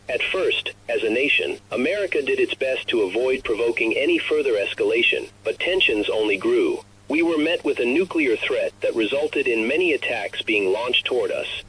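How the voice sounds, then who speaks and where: A man playing a grave narrator of a recorded message to the last human, speaking in a solemn, measured, formal way for an analog horror story. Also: mid-range voice, fairly bright tone, slight background noise, lightly acted, poor audio.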